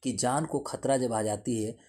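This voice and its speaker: Male current affairs teacher, soft-edged mellow voice, mid pitch, didactic explainer who restates points. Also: fairly even intonation, unhurried. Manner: didactic, repeats and rephrases points. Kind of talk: explanatory lesson to camera.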